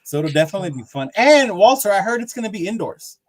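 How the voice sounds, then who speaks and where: A man's voice: sits high, very animated, quick pace. Laid-back slangy male podcast host. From Mexican heritage. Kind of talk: casual chat.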